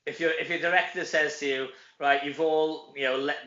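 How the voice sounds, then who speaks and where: A man's voice: fairly high pitch, fairly even intonation, a little fast, a crowd in the background. A middle-aged Welsh man chatting casually as an interview guest, rambling and conversational. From Wales. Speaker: interview guest, stage actor. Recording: roomy echo, dull lo-fi audio.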